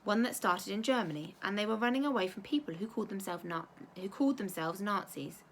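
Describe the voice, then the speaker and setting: A woman voicing a gentle, caring mother who explains things tenderly and patiently in a storybook. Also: medium pitch, lively intonation, a little fast, slight background noise, natural voice. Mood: gentle, careful. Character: gentle, caring mother soothing her child.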